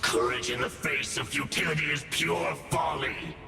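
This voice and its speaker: A man's voice: fairly high pitch, normal speed, creaky, bright, forceful tone, heavily acted. A man playing an arrogant abyssal villain spirit in a video game, speaking with theatrical, pompous contempt for humanity. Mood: scornful menace. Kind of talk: dramatic video game voice acting. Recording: echoing, long reverb, music behind.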